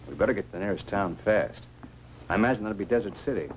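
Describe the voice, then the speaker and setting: A man's voice: lowish voice, fast, lightly acted. An actor playing a practical, plain-spoken crewman in 1950s science fiction film style. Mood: urgent. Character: practical, plain-spoken male crew member Steve. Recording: distant, noisy old film soundtrack.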